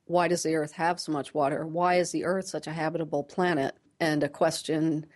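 A woman speaking as a scientist guest in a radio interview, explaining things plainly and in a measured way, pausing to choose her words. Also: deep voice, fairly even intonation, a little mellow.

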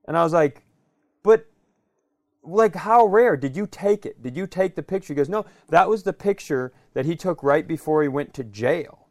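A man co-hosting a comedy podcast, telling casual funny stories in an animated, sweary way and acting out the dialogue. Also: on the high side, normal speed, no echo, clean studio sound.

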